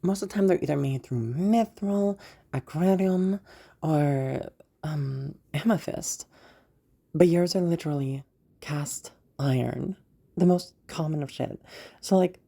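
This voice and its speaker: A woman performs a magical woman rescuer in a fantasy roleplay, teasing and full of amusement. Her voice is low and mellow with a husky grain, and she talks playfully with hesitant pauses. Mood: curious, puzzled. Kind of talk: roleplay audio drama.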